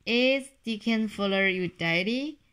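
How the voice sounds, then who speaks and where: A gentle grown man hiding with his family, calm and reassuring, as a woman reads his lines aloud. He talks slowly and evenly. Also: medium pitch, smooth, natural voice.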